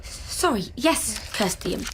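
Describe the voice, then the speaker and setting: A woman plays a flustered young daughter in a radio play. Her voice is high and very lively in pitch, nervously polite and placating as she makes halting excuses. There is clear noise on the track. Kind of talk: radio drama acting. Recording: noisy track with clicks.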